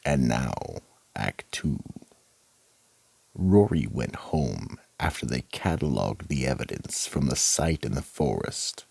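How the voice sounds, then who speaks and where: An extremely deep, gravelly male narrator played by an actor hosts a mystery radio drama. He is slow and ominous, with theatrical swings in a sinister storyteller's delivery. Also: expressive, darkish tone, no room sound, heavily acted. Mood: ominous, measured. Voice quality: gravelly, husky. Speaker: narrator and horror anthology host.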